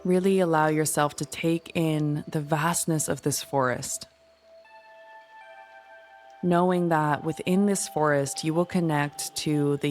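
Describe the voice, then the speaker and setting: A woman leading a guided meditation in a soothing, gentle, unhurried manner. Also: low voice. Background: music.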